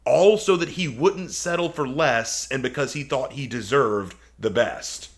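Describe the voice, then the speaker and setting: A man's voice: mid-range voice, unhurried. A man giving casual, opinionated video commentary, arguing emphatically with sarcasm and incredulous rhetorical questions. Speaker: video commentator hosting a response video.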